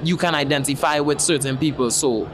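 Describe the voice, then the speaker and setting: A man with a Trinidadian accent, a festival-goer chatting in a relaxed way during a street interview. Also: on the high side, a little fast. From Trinidad and Tobago. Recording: outdoor street noise.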